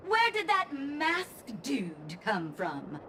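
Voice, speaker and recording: An actress playing a rude, scornful bullying thug in a video game. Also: female voice, sits high, huge pitch swings, drawn-out words, sharp tone, a little hiss or hum, exaggerated voice. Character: bullying, sneering female thug. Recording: background music throughout.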